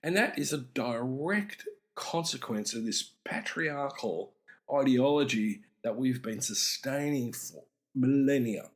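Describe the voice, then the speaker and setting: A middle-aged man hosts an explanatory talk on psychology, speaking deliberately and with emphasis. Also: medium pitch, animated. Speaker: podcast host and mental health speaker.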